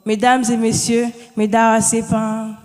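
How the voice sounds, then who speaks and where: A young woman gives a formal, measured welcome speech as a student at a graduation ceremony. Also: fairly high pitch, level tone, a little mellow, faint music behind. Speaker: student speaker. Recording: reverberant hall.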